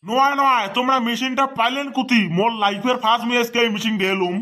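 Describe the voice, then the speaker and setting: A flustered cartoon mechanic played by a man rattles along at breakneck speed in a very high, pitched-up voice. He protests defensively and repeats himself, with a rural northern Bengali dialect. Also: fast, bright, forceful tone. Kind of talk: comedy cartoon dub.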